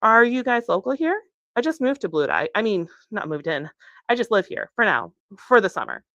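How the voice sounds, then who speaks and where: A woman's voice: medium pitch, sing-song, normal speed, fairly bright tone, no room sound, muffled recording, pitched above the performer's own voice. A woman reading a novel aloud, voicing an awkward, eager teenage girl who rambles self-consciously. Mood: flustered, friendly. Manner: flustered, rambling, self-deprecating. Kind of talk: acted dialogue in a novel reading.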